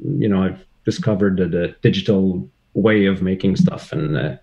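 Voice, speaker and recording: A man's voice: sits low, normal speed, gravelly, warm tone. A man from Quebec whose first language is French, speaking English with a Québécois French accent as a guest on a video call, hesitant and searching for words with many fillers. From Quebec, Canada. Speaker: guest, hobbyist maker. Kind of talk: casual video-call chat. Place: home room with couch and shelves. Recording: dull lo-fi video call audio.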